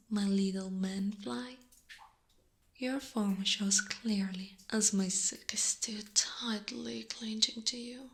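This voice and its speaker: A woman plays a giant female spider monster who treats her listener as a possession. She speaks very slowly and deliberately, slipping between soft voice and breathy whisper. The delivery is seductive and predatory, in an intimate ASMR roleplay style. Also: a little high. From Italy.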